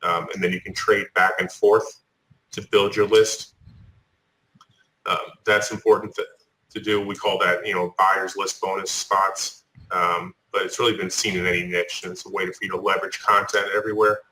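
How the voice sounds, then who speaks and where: A man's voice: low voice, flat delivery, moderate pace, sharp tone, low-quality recording. A man hosting a live online show, explaining things loosely with many fillers. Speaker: webinar host. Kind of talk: casual webinar chat.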